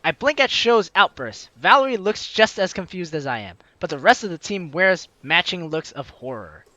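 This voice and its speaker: Animated, bright-voiced man narrating a game. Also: high voice, moderate pace, no room sound. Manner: chatty, expressive asides. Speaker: game streamer. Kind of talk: casual let's-play narration with read-aloud dialogue. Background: game music.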